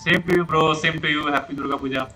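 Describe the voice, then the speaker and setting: Very fast-talking male game streamer. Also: fairly high pitch, fairly even intonation. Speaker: game livestreamer. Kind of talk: casual livestream chat. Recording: game music behind the voice.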